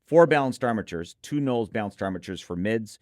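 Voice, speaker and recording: A man's voice: on the low side, expressive, brisk, a little mellow, dry recording, clean studio sound. A man co-hosting a product preview, speaking emphatically and effusively and repeating points for emphasis. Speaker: audio gear reviewer and co-host. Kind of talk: enthusiastic product preview talk.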